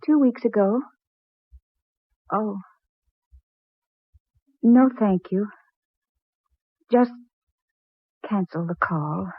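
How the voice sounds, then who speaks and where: An actress playing a devoted, anxious young woman in love, speaking earnestly and emotionally in a 1940s radio drama. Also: no room sound. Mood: anxious, deflated. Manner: emotional, dramatic, with halting pauses. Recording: muffled, very low-fidelity old radio, faint music.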